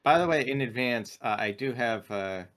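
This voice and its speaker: A man's voice: medium pitch, moderate pace, a bit bright. A man taking part in a tabletop game, chatting casually with the group. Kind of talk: casual chat during a game.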